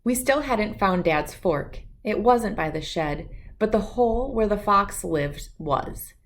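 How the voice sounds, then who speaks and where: Female children's book narrator, mid-pitched and very expressive, slow and deliberate delivery. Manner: animated, deliberate phrasing. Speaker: children's book reader and host. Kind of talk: expressive read-aloud storytelling for children.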